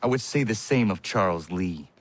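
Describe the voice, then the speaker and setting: An actor playing a solemn, earnest young colonial-era warrior in a video game, speaking in a formal, deliberate way. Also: male voice, lowish voice, expressive, close to an everyday voice. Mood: determined. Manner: formal, deliberate, stiff phrasing without contractions. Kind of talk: video game cutscene acting.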